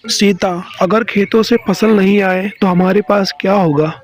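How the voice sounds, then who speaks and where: A man's voice: high voice, steady tone, unhurried. A man playing a poor, hardworking village farmer in a cartoon story, earnest and plaintive. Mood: distressed.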